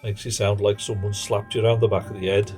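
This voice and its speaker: A man's voice: lowish voice, level tone, quick pace. A man streaming a game live, chatting wryly with viewers in a dry, muttering way. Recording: game stream with background music.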